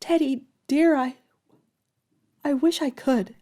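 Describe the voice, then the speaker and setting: A woman's voice: high voice, slow, mellow. An actress playing a frank, spirited young woman in an audio drama, speaking earnestly and candidly. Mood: pained, pleading.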